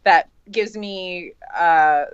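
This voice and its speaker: A woman's voice: lowish voice, extremely slow, clean tone, no room sound. A woman talking casually as a band member in a group interview. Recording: dull, close lo-fi sound.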